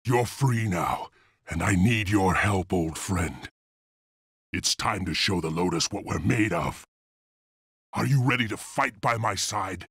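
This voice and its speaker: A man playing a defiant, commanding leader of an enslaved fantasy clan in a video game, rough-voiced and resolute. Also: low-pitched, expressive, measured pace, a bit bright, no room sound, studio quality, exaggerated voice. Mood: rallying. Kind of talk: dramatic video game cutscene acting.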